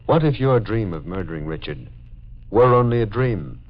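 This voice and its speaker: An actor playing an older, long-lost lover in 1940s radio drama, measured and quietly insistent, with a gravelly voice. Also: male voice, lowish voice, lively intonation, natural voice. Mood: gentle, insistent. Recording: noisy, muffled vintage radio recording.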